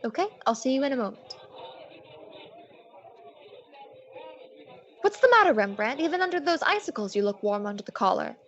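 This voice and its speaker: A woman playing a sassy, quick-witted female private detective in an old-time radio drama, wry and brisk. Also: high-pitched, very animated. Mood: teasing. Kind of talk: old-time radio drama acting with first-person narration. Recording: dull lo-fi audio.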